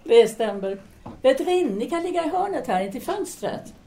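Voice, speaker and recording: A woman's voice: high voice, big pitch swings, mellow, a little hiss or hum. A woman playing a self-assured, restless 1930s Parisian intellectual in an audio drama, speaking formally and decisively. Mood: firm, hospitable.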